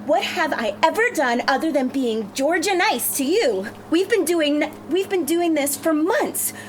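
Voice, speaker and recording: A high, swooping voice for a brash, proud, indignant plus-sized lady , acted out by a woman at a script read. She is theatrical and emphatic, with a sharp tongue, over faint background noise. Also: lively intonation, a little slow. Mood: scornful. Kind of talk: screenplay table read.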